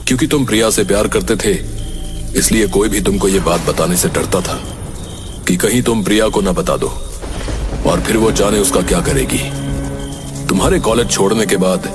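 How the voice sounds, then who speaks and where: A man's voice: on the low side, normal speed, pauses often, music in the background, lightly acted. An actor playing a grave young man in a cartoon story, speaking in a serious, dramatic, explanatory way. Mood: grave, explaining. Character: grave young man warning his friend about witchcraft. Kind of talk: Hindi cartoon story dubbing.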